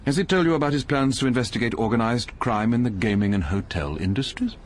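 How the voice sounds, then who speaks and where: An actor playing an urbane, witty, middle-aged English playwright in a radio drama, speaking in a suave, clipped, dryly ironic way. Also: male voice, medium pitch, expressive, fast, natural voice. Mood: suave, pointed.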